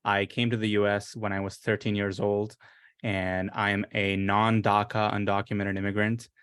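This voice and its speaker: A young man from India introducing himself on a panel, earnest and steady, with frequent fillers. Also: lowish voice, normal speed, clear, resonant tone, no room sound, clean studio sound. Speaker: panelist, business owner.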